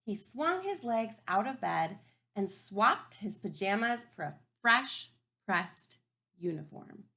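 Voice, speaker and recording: A woman teaching kindergarten through a read-aloud, thinking aloud and posing simple questions to children in an expressive, emphatic way. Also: mid-range voice. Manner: expressive, emphatic storybook delivery.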